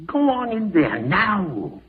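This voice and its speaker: A man in an old-time radio drama playing a suspicious, scheming farmer, sharp, bossy and demanding. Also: high-pitched, wildly swooping pitch, slow pace, narrow, tinny audio, exaggerated voice. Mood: menacing, distrustful. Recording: thin scratchy old radio recording.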